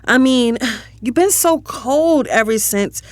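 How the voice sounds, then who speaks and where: A woman playing a bold, tender young lover in an intimate audio drama, probing with soft intensity. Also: high-pitched, lively intonation, slow, no room sound.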